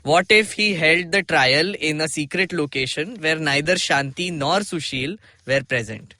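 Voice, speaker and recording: A man's voice: on the high side, unhurried, bright, forceful tone, dry recording. A man with an Indian accent explaining things in casual discussion as a podcast co-host, often checking in with "right?". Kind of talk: casual explanatory discussion, reading bits aloud.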